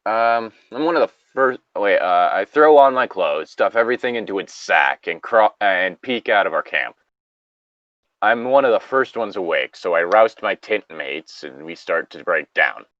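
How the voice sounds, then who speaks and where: A man's voice: sits low, expressive, moderate pace, no echo, lo-fi sound. A man reading a story aloud casually, breaking off into loose, profane banter.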